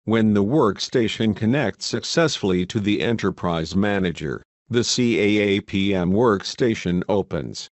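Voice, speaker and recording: A man with a fairly low voice narrates a scripted software tutorial. His delivery is measured and instructional. The voice-over is recorded close and dry, with little room sound. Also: expressive, no echo.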